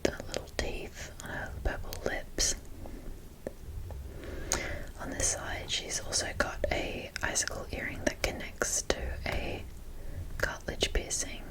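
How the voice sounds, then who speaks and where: A young adult woman whispering in a casual ASMR show-and-tell style, breathy and enthusiastic, with halting, unhurried phrasing. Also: poor audio. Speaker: ASMR video creator.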